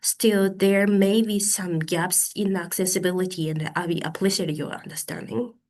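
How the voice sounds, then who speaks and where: Japanese woman in her thirties, careful halting English. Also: mid-range voice, fairly even intonation, a little mellow. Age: 30s. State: stuffy from hay fever. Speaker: conference speaker. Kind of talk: conference talk over video call.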